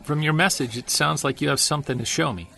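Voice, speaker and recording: An actor playing a weary Sasquatch investigator who narrates and talks in a low-key, guarded way in an audio drama. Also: male voice, quick pace, vocal fry, bright, forceful tone, full character voice.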